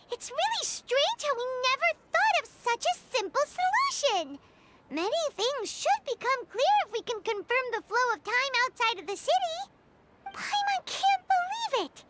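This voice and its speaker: A woman voicing a small, chirpy, childlike fairy companion with an excitable manner in a video game. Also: heavy background noise, heavily acted. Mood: excited. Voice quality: squeaky, childlike. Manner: refers to self in third person, exclamatory. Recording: loud music behind the voice.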